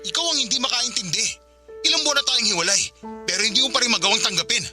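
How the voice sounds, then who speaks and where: A man's voice: very high voice, animated, racing, sharp tone, soft background music, full character voice. An actor playing a firm, exasperated young father in a radio drama, curtly refusing his former partner. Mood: frustrated. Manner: curt, firm.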